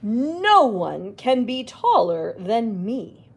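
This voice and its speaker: A woman's voice: a little high, big pitch swings, drawn-out words, vocal fry, breathy edge, heavily acted. A woman voicing a tiny, vain, boastful king in a storybook, pompous and exclamatory. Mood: self-satisfied.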